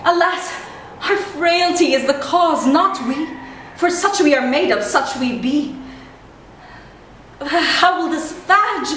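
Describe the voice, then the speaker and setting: Woman doing puzzled girl disguised as a guy, stage comedy monologue, wry n theatrical, high voice, big room echo. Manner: theatrical, musing. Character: perplexed, wry young woman disguised as a man. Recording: very echoey room, distant mic, faint noise.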